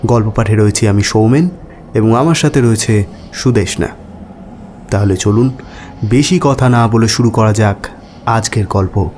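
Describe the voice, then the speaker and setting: A man narrating an audio story as its host, in a measured, dramatic and expressive storytelling manner. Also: lowish voice, a little hiss or hum. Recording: background music under voice.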